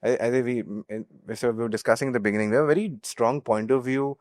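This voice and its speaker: A man's voice: medium pitch, fast, a little mellow, no room sound. A young man with an Indian accent and a mid-range voice, answering interview questions as a company founder. His delivery is earnest and conversational, recorded very dry with almost no room sound. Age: young adult. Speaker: startup co-founder being interviewed. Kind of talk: business interview.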